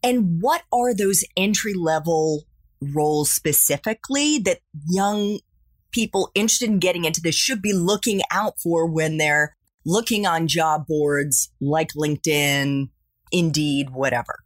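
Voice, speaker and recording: A woman's voice: sits low, unhurried, no room sound, clean studio sound. A woman hosting a podcast interview who asks her guest questions in an upbeat, friendly way. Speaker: podcast host.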